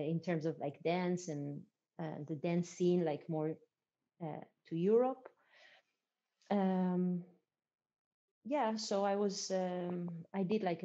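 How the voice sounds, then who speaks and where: A young adult woman from Israel, a dancer being interviewed, talking reflectively with many pauses and fillers. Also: on the low side, warm tone. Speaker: podcast guest, dancer. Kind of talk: casual conversational interview. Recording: dull lo-fi audio.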